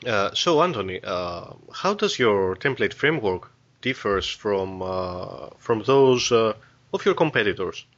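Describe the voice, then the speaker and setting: A man hosting a podcast interview, asking questions haltingly with frequent uh fillers. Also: on the low side, expressive, a bit bright. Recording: dull lo-fi audio.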